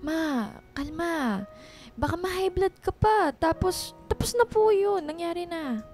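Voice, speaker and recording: A young woman with a Filipino accent playing a gentle, devoted daughter in a radio drama, polite and earnest. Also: extremely high pitch, expressive, measured pace, exaggerated voice. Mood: soothing. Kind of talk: Tagalog radio drama acting. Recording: music clearly under the voice.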